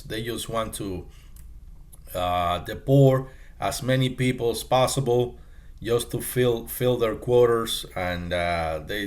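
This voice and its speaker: Casting: male commentator, somewhat deep, unhurried and halting, casual and off the cuff. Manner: halting, repetitive. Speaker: commentator reacting to clips.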